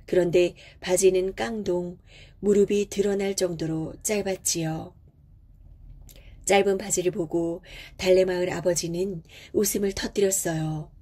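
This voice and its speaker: A woman storyteller reading a children's fairy tale in a gentle, measured way. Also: lowish voice, fairly even intonation.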